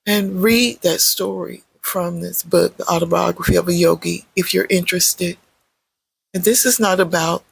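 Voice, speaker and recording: A meditation guide speaks very slowly in a creaky, husky voice of middling pitch. The phrases are short and gentle, with space left between them. The sound is dry and close, suited to guided relaxation. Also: no echo, rough recording. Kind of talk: guided meditation, unscripted spoken guidance.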